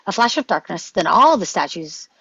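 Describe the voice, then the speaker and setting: A woman's voice: lowish voice, very animated, moderate pace, gravelly, a bit bright, a little hiss or hum. A woman reading stage directions as dramatic scripted narration at a table read. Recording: dull, dry lo-fi microphone.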